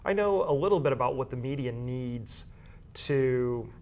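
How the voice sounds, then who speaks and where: A man with an average-pitched voice talks as a guest in an interview. He explains in a conversational way, often circling back to rephrase what he has just said. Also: animated, unhurried, darkish tone, lo-fi sound. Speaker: guest, high school athletics media coordinator and broadcaster.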